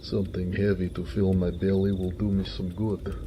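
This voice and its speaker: A man voicing a gentle, hardworking orc blacksmith in an audio roleplay, weary and good-natured, musing to himself in a homely way. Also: sits low, level tone, normal speed, a little hiss or hum, lightly acted. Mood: content, musing.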